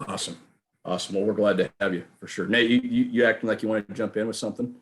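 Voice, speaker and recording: Guy hosting a sports podcast, talks fast, lowish voice, wordy rambly questions. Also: steady tone. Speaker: podcast host, sports reporter. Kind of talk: casual podcast interview.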